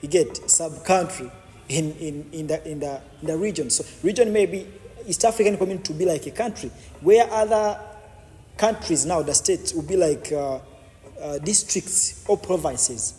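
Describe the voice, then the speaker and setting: A man's voice: a little high, normal speed, mellow, big-room sound. A man, a podcast guest explaining his views at length, stumbling and repeating words as he goes. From Uganda. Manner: repetitive, many false starts and restarts. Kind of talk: explanatory interview answers.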